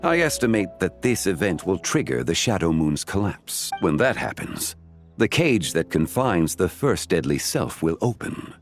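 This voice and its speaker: Casting: grave male fantasy game strategist, low, expressive, formal and deliberate delivery. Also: faint music behind. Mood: grave, solemn. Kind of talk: video game voice acting.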